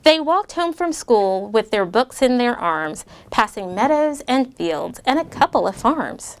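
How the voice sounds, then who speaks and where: A middle-aged woman reading a rhyming children's book aloud to a class of schoolchildren, pausing to ask the kids questions. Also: fairly high pitch. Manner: expressive rhyming read-aloud with asides to kids. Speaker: guest reader and parent.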